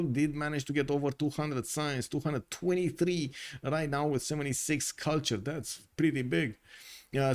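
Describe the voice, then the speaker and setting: A man's voice: medium pitch, darkish tone. A man casting a strategy game live, reading chat and thinking aloud with lots of fillers; English is not his first language. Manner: casual, chatty, thinks aloud with many fillers. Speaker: livestream caster.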